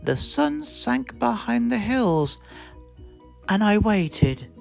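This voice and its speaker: Slow, very animated British woman reading a storybook. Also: medium pitch, creaky, soft background music. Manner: expressive, theatrical. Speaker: storybook reader. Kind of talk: animated scripted storybook narration.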